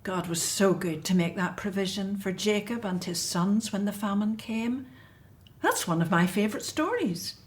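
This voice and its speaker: A woman's voice: medium pitch, animated, moderate pace, close to an everyday voice. An actress playing an anxious, devout and tender elderly mother in a biblical radio drama. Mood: devout, fond.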